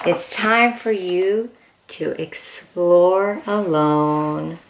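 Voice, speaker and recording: A woman reading a picture book aloud to young children as a host, with excited, encouraging exclamations. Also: lowish voice, extremely slow, slight background noise, poor audio.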